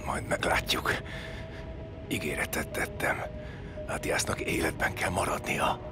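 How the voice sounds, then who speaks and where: An actor in an audio drama playing a weary, guilt-ridden, wounded celestial being, weak and breaking into breathy whispers. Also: male voice, a bass voice, over music. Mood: weak, defiant. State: badly injured, weak.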